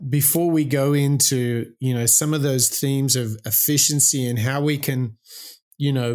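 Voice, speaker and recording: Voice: medium pitch, darkish tone. A podcast host in casual conversation who picks up the guest's points and builds on them. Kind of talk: casual interview talk.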